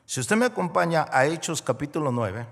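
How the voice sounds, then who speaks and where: A man from Mexico preaching a sermon as a guest pastor, moving between hushed storytelling and loud, rising preaching. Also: a little high, lively intonation, brisk, creaky. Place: large church auditorium. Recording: long hall reverb.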